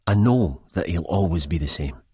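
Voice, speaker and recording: A man's voice: low voice, expressive, normal speed, warm tone, breathy edge, no echo, clean studio sound, heavily acted. A man with a Scottish accent playing a wry, reflective, disillusioned first-person narrator in an audiobook. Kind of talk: first-person literary narration, character acting.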